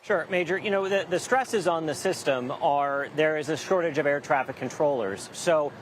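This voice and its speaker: Higher-pitched man reporting live amid background noise. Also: moderate pace. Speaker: transportation correspondent reporting live. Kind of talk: live news report, conversational explaining. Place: live remote at an airport.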